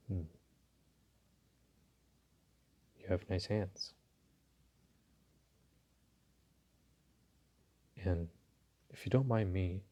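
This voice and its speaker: Deep-voiced, courteous mafia boss, played by a man. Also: warm tone, slightly rough, full character voice. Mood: softly flirtatious. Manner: polite, unhurried, teasing, hesitant phrasing. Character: smooth, courteous, flirtatious male mafia boss, dominant. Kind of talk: intimate audio roleplay addressed to the listener.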